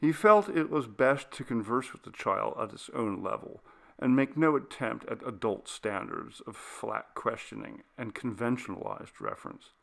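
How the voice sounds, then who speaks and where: A man narrating a literary ghost story as an audiobook reader, with measured, deliberate phrasing. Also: medium pitch, sing-song, vocal fry, a bit bright.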